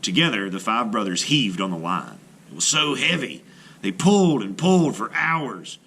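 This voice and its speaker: A man's voice: lowish voice, very animated, moderate pace, bright, forceful tone, slightly rough. A man reading a children's story aloud with dramatic, carefully phrased narration.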